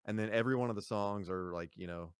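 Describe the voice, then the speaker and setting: Podcast guest, man, very low smooth voice, easygoing rambling talk, dry close sound. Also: fast, no echo. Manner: relaxed, rambling with fillers and laughs. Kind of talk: casual podcast chat.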